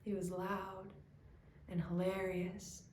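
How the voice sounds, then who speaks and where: A woman performing a dramatic monologue as a grieving, self-doubting young cook, speaking in a halting, confessional way. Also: mid-range voice, flat delivery, a little mellow, lightly acted. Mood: wistful, vulnerable. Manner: halting, hesitant, confessional, with stammered restarts. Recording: echoey room, clear background noise.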